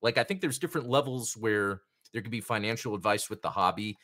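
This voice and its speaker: A man's voice: on the low side, a little fast, sharp tone. Rambling casual man hosting a hobby show. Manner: rambling, many fillers and restarts. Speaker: podcast host.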